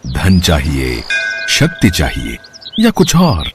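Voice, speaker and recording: A man voicing a calm, benevolent male god in an animated story, speaking slowly and gravely as he grants wishes. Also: very deep voice, over music, heavily acted.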